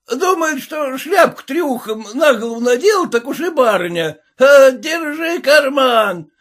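A man voicing a drunk red-faced peasant who shouts rude abuse at his passengers. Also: very high-pitched, very animated, a little slow, voice pushed up, full character voice. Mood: drunken abuse.

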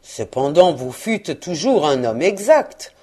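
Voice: mid-range voice, very animated, slow, mellow, slight background noise. A narrator voicing a frightened, humbled old male miser who speaks with hesitant deference. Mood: uneasy. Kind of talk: audiobook character reading.

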